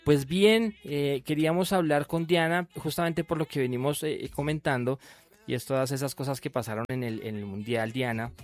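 A man's voice: medium pitch, moderate pace, faint music behind. A man hosting an interview, chatty and full of fillers and restarts. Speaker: radio host.

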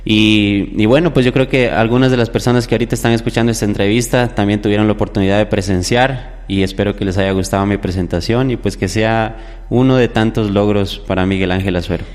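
Young male singer guest, low voice, conversational storytelling in a reverberant recording. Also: fairly even intonation, quick pace, a little mellow. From southern Ecuador. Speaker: musician guest, popular music singer. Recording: echoey hall-like reverb.

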